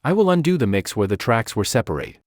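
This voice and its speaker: A man giving a scripted software tutorial as a narrator, step by step. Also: medium pitch, very animated, fast, no echo, clean studio sound.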